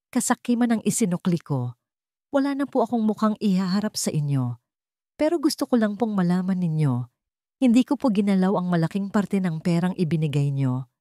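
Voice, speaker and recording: A woman's voice: on the low side, dark tone, dry recording, studio quality, close to an everyday voice. A woman voicing a repentant grown son in an audio drama, pleading humbly and respectfully in his letter.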